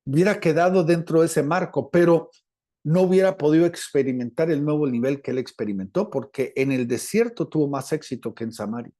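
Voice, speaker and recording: A male preacher with a Latin American Spanish accent and a fairly high, mellow voice. He teaches in sermon style, speaking deliberately and circling back over phrases to stress them. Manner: deliberate, repeats phrases for emphasis. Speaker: preacher, apostle.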